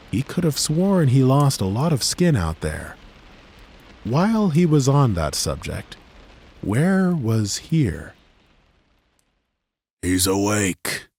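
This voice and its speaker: A man narrating a fantasy audiobook in a measured, steady storytelling manner on a close studio mic. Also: on the low side, husky, no echo.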